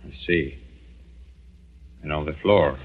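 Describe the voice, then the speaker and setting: An actor playing a grave, courteous German colonel in a 1940s radio suspense drama, speaking in a measured, formal way. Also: male voice, extremely low pitch, slightly rough, full character voice. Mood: grave, reserved. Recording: dull old 1940s radio recording.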